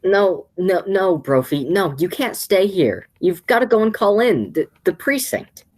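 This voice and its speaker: A woman's voice: medium pitch, expressive, measured pace, a little mellow. A woman playing a flustered, scheming man in an amateur radio play. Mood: flustered, placating. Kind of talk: radio play acting, high school theatre.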